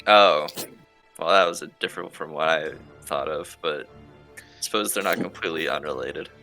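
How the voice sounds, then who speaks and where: A man talks as a player in a tabletop roleplaying game, casually slipping in and out of character. His voice is deep and creaky but forceful. Faint music plays behind. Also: normal speed, rough recording.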